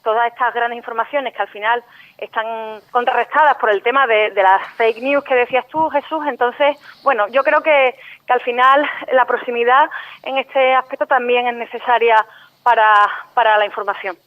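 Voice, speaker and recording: A woman journalist, a radio interview guest calling in by phone, explaining in a measured way. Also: a little high, fairly even intonation. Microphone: phone call. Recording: muffled phone-line audio.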